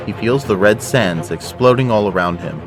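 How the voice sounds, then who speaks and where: A man's voice: on the low side, normal speed. A man giving scripted, dramatic narration for an action audio drama. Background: prominent music.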